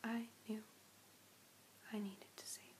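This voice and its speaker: A young woman playing a gentle, apologetic vampire in an ASMR roleplay, whispering slowly and deliberately with pauses. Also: a little high, flat delivery, slight background noise, close to an everyday voice. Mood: solemn, intimate. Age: young adult. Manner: slow, hushed, deliberate, with pauses. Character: gentle, apologetic female vampire rescuer, ancient but youthful. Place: small room indoors. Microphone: phone or webcam at arm's length.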